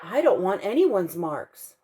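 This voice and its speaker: A woman's voice: medium pitch, big pitch swings, slow, dark tone, a little hiss or hum. A woman voicing a timid, self-doubting little male wooden puppet in a storybook reading. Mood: longing.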